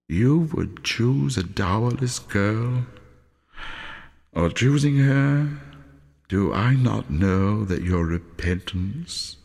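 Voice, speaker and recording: Man voicing a soft sorrowful young woman, slow. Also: mid-range voice, vocal fry, long reverb tail, exaggerated voice. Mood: sorrowful resolve. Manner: soft, grave. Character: gentle, sorrowful young woman releasing her betrothed.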